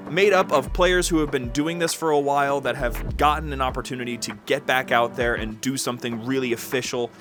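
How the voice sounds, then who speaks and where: Male host, casual and enthusiastic, chatty update delivery over prominent background music. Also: medium pitch. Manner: enthusiastic, informal. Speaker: host of a gaming community show.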